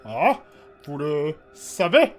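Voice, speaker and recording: An actor playing a hesitant, apologetic subordinate eager to justify himself in a fantasy audio drama. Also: male voice, fairly high pitch, big pitch swings, very slow, soft background music, exaggerated voice. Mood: nervous.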